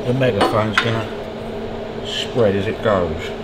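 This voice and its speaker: A middle-aged man with a very low voice talks through a workshop job. He is casual and offhand, tacking little questions onto his sentences. Clear background noise fills the garage around him. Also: measured pace. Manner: offhand, often ends on tag questions. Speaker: workshop mechanic demonstrating metal fabrication. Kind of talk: casual hands-on tutorial. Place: large garage workshop, indoors.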